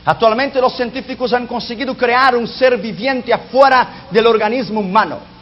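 Emphatic high-voiced male preacher, lo-fi. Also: moderate pace, a little hiss or hum. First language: not Spanish. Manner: emphatic, drops low and soft on key lines. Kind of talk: sermon on prophecy. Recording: dull lo-fi recording.

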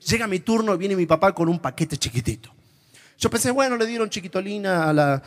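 A man from Argentina with an Argentine accent, preaching a sermon to a congregation and telling humorous stories with lively, animated delivery. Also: high-pitched, brisk. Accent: Argentine Spanish. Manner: humorous, animated storytelling, shifts to whispers. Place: church before a live congregation.

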